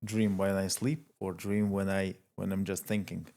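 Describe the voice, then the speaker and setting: A man from Latvia whose first language is Russian, a coach speaking as a podcast guest and giving brief, measured answers. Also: low-pitched, little pitch movement, creaky, dark tone. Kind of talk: podcast interview.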